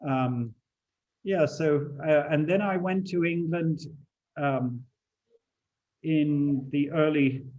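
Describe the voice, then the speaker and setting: A man in his seventies who grew up in China, recalling his life in relaxed talk with many fillers and restarts over a video call. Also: on the high side, slow, pauses often, dull audio. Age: 70s. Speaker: guest speaker. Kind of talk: relaxed conversational recollection.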